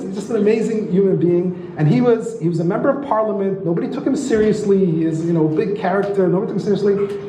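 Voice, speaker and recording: A man with a high, mellow voice teaches a lecture and keeps tossing rhetorical questions at the audience. His tone is warm rather than sharp, and the room around him rings with a noticeable echo. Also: slight background noise. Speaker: astrology lecturer and author. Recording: roomy echo.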